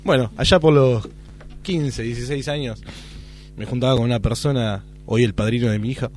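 A man's voice: mid-range voice, normal speed, a little mellow, a little hiss or hum. An Argentine man in his thirties, a guest casually telling anecdotes in a conversational way. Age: 30s. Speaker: guitarist guest.

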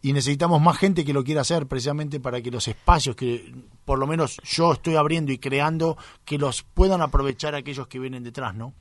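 A man's voice: medium pitch, brisk, dry recording. A man with a Buenos Aires area Argentine accent, answering interview questions in an earnest, explanatory, conversational way. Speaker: guest, professional tuba player.

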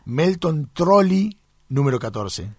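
A man's voice: fairly high pitch, big pitch swings, takes it slowly, slightly rough, no room sound, studio quality. A man with a Buenos Aires area Argentine accent, answering interview questions in an earnest, explanatory, conversational way. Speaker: guest, professional tuba player.

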